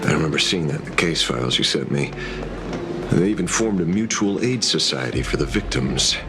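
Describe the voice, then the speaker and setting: A man playing a wry, world-weary but sharp private detective in a video game, speaking in a dry, musing way. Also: rumbling low, expressive, a little fast, loud music behind the voice. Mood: somber.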